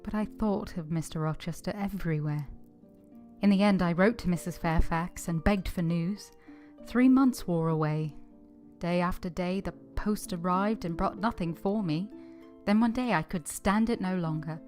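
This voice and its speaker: Young period governess woman acting, lower-pitched, earnest, steadfast, sorrowful radio drama storytelling over music. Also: normal speed, darkish tone, close to an everyday voice. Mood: sorrowful narration. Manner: restrained, sorrowful.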